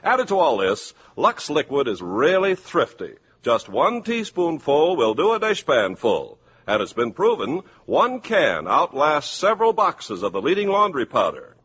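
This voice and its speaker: A man announcing and pitching products in the polished style of a 1950s radio commercial. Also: wildly swooping pitch, unhurried, hardly any pauses, a bit bright, husky. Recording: muffled, hissy 1950s radio recording.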